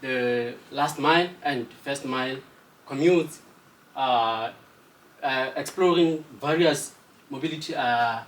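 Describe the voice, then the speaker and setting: A man's voice: mid-range voice, slow pace, reverberant. Hesitant man from Lesotho, off-mic. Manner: hesitant, many filler sounds. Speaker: student presenter. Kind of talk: student project presentation. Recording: distant mic with faint noise.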